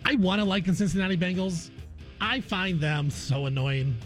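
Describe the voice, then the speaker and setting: Higher-pitched male sports radio host. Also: normal speed, faint music behind. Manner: conversational, repeats phrases for emphasis. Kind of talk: casual sports commentary.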